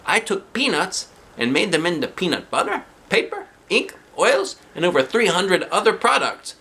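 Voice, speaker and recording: A reader voicing a proud male scientist who was born enslaved, telling his story slowly, in a children's book read aloud. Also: mid-range voice, big pitch swings, sharp tone, pitched above the performer's own voice.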